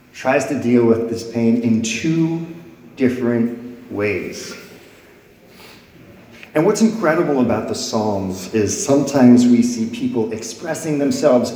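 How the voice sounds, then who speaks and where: A man's voice: mid-range voice, warm tone. A man preaching a sermon to a congregation, reading scripture dramatically and letting his voice swell and fall. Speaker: pastor. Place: church sanctuary before a congregation. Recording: echoey hall with long reverb.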